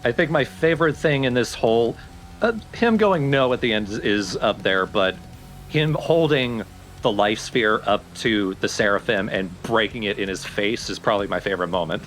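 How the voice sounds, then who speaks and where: A man's voice: lowish voice, lively intonation, moderate pace, fairly bright tone. A man giving casual, analytical and opinionated commentary on a video game. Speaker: let's play co-commentator. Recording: background music under the voice. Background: game music.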